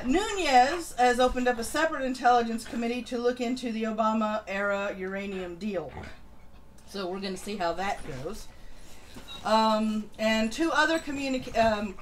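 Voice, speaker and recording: A woman with a slightly high voice hosts a political livestream over some background noise. She works through the news informally, adding blunt opinions and casual swearing as she goes. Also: a bit bright, poor audio. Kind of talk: news rundown with casual commentary.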